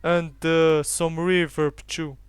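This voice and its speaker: A young man giving a calm, matter-of-fact step-by-step tutorial voiceover. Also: fairly high pitch, background noise. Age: young adult. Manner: flat, unhurried, matter-of-fact instructions. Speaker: tutorial youtuber.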